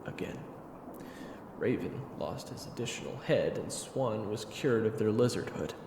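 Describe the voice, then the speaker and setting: A man giving theatrical, scripted second-person narration for an audio drama, often hushed. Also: lowish voice, expressive, unhurried, a little hiss or hum. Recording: roomy sound under loud music. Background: music.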